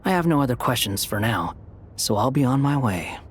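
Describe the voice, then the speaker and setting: A man's voice: medium pitch, sing-song, fairly quick, a little hiss or hum, lightly acted. A man voicing an aloof, sardonic young wanderer in a game, cool, clipped and mock-polite. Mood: cool, dismissive. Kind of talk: dramatic game voice acting.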